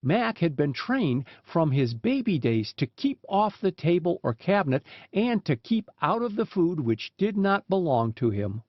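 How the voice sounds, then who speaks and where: A man's voice: high voice, no room sound. A man reading a short story aloud as an audiobook narrator, in scripted storybook narration on a dull, lo-fi recording.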